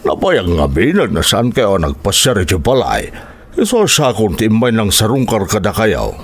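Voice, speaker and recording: An actor with a Filipino Ilocano accent playing a concerned, prying older uncle with a husky voice. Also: male voice, on the low side, very animated, moderate pace, full character voice. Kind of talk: radio-style drama acting.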